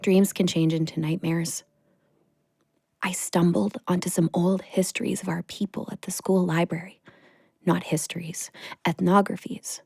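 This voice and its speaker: A woman playing a wry, affectionate girlfriend in an audio drama, natural and expressive. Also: on the low side, gravelly, breathy edge, no echo, clean studio sound, exaggerated voice. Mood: grave, reflective.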